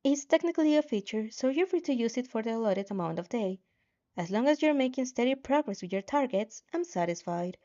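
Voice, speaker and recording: Woman voicing a mellow, bossy game system. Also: on the high side, lively intonation, fairly quick, lo-fi sound, natural voice. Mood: offhand, controlling. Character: bossy game-like system voice, controlling but casual.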